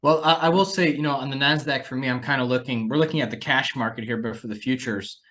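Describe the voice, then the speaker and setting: A man's voice: medium pitch, fairly even intonation, quick pace, fairly bright tone. A man, hosting a conversational discussion of financial markets as an analyst.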